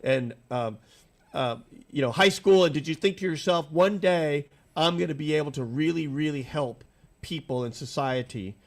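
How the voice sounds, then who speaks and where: A man hosting a radio talk show, a little nasal from allergies, with a voice pitched somewhat high for a man. He talks in an upbeat, rambling way, asking long questions in a studio. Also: normal speed. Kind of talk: casual radio interview.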